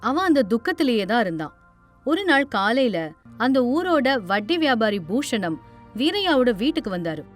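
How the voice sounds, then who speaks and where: Casting: woman narrator for moral stories, high-set voice, scripted storytelling over a light music bed. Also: sing-song, moderate pace. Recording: music under the voice.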